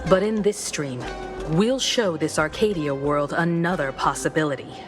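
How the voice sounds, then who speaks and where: A woman voicing a calm, determined young heroine in a video game, with a steady and resolute manner. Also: low voice, normal speed, natural voice. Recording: game audio with music underneath.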